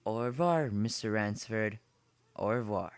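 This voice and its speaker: A man's voice: fairly low pitch, a little slow, clean tone, no echo, clean studio sound. A man playing an urbane, smug aristocratic general in an amateur drama, speaking in a formal, genteel manner. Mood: suavely persuasive. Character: urbane aristocratic male general who hunts people for sport. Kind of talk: amateur short story dramatization.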